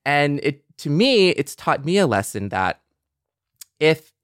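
Man, reflective casual podcast talk, animated intonation, dry close sound. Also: fairly high pitch, slow, clear, resonant tone, dry recording. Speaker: podcast co-host.

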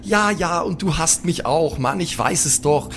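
A man playing an apologetic, excitable superhero with slangy, stammering speech in an improvised audio drama. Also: a little high, measured pace, noisy background. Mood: pleading, guilty. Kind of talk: improvised German audio drama role-play.

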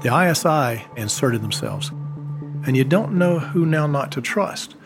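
A man, a former embassy security agent, recounting events in a measured, storytelling way with occasional fillers. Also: a little high, lively intonation. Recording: music bed underneath.

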